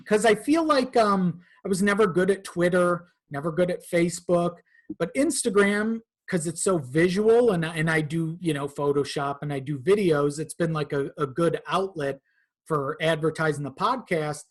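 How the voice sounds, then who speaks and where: A man's voice: a little high, normal speed. A man speaking informally as a podcast guest, in a casual conversation peppered with swearing. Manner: informal, profane.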